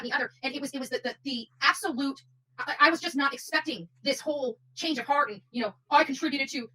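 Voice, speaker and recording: A woman's voice: high voice, bright, forceful tone, breathy edge, rough recording. A woman doing unscripted livestream commentary, speaking emphatically and repeating phrases for stress.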